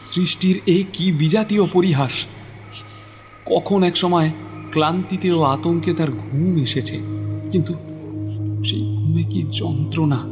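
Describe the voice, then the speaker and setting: A man's voice: a little high, unhurried, pauses often, a little mellow. A man narrating a scripted horror story in a suspenseful, theatrical manner. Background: prominent dramatic music.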